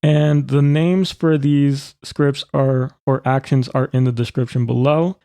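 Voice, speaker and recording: A man presenting an informal, step-by-step software demonstration. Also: mid-range voice, steady tone, a little slow, smooth, no echo. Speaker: tutorial presenter.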